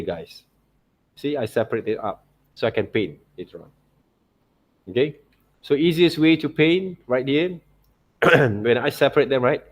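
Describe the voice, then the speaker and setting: Malaysian-accented man teaching a class. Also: medium pitch. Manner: repeats tag words like okay and right. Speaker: art teacher. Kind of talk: informal software tutorial to students.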